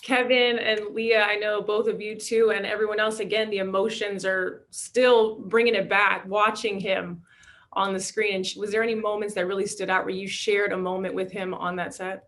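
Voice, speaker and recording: Woman hosting a cast q&a, bright clear-cut voice, pretty flat delivery. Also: medium pitch, normal speed. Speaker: moderator. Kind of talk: hosting a reunion Q&A.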